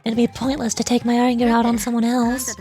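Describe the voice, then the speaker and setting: A woman voicing a frustrated, matter-of-fact craftswoman from a fantasy game, explaining things in a measured, exasperated way. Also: a little high, monotone, music in the background, lightly acted. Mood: resigned, annoyed. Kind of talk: video game dialogue read aloud.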